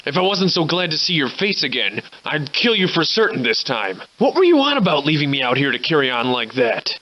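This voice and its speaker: A man's voice: on the high side, very animated, brisk. A man voicing a surly, hard-drinking, grandiloquent survivor in a video game, with florid old-fashioned diction, in slightly muffled audio. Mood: urgent relief. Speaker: video game protagonist voice actor. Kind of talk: theatrical video game character dialogue.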